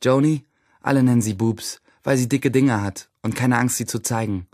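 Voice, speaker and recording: Man playing a wry teenage schoolboy, mid-pitched. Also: normal speed, darkish tone, lightly acted. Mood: wry, bored. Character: wry, boastful teenage schoolboy, leader of his gang. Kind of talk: first-person audio drama monologue.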